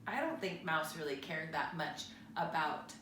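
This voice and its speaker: A woman narrating a children's story aloud in an animated, dramatic way, speaking directly to her listeners. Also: low voice, unhurried, background noise. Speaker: read-aloud storyteller, teacher. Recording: roomy echo, distant microphone.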